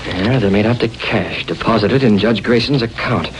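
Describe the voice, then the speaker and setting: An American actor playing a righteous masked vigilante, crisp and authoritative, in a 1930s radio adventure. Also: male voice, lowish voice, normal speed, a little hiss or hum, close to an everyday voice, rough recording. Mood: brisk, decisive.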